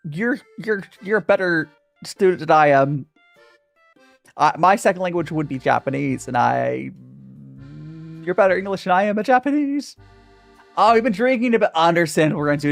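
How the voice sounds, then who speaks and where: A young man chatting through a relaxed stream Q&A, his voice swinging up and down animatedly. He stumbles and restarts his sentences often as he reads chat questions aloud. Soft music plays quietly underneath. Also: high voice, creaky. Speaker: streamer answering viewer questions. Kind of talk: casual livestream Q&A.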